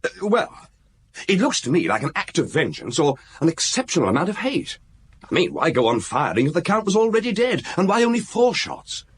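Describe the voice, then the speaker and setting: A man's voice: fairly high pitch, very animated, fairly quick, breathy edge. An actor playing an eager, deferential junior detective in a radio drama, keenly reasoning things out for his superior. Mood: keen, puzzled. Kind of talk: British radio drama acting.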